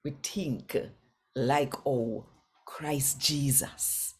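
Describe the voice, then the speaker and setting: A woman with a Jamaican accent reads scripture aloud in Patois, with deliberate, emphatic phrasing. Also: very deep voice. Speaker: scripture reader and host of a daily reading.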